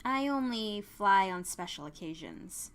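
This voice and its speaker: A woman's voice: medium pitch, lively intonation, unhurried. A woman voicing a perky, kind small-town woman in an audio fan fiction drama, upbeat and playfully placating. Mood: perky, teasing.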